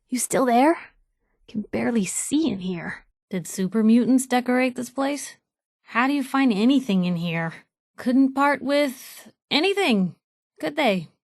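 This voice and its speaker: A snarky nosy young lady reporter for a video game, kinda high voice, wisecracking, really dry studio sound. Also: a little mellow, no room sound, full character voice. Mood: wry, complaining. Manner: wry, sarcastic, inquisitive. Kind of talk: game companion dialogue.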